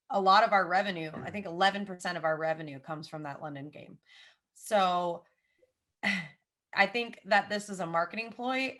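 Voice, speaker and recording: A woman in her thirties with a Southern American accent, a podcast guest chatting casually and giving animated, opinionated takes with lots of asides and repeated emphasis. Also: mid-range voice.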